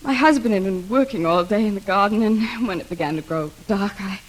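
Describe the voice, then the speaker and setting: A woman's voice: medium pitch, brisk, close to an everyday voice. An actress playing a troubled widowed mother in an old radio drama, hesitant and melancholy. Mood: hesitant, defensive. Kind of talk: 1940s radio acting and narration.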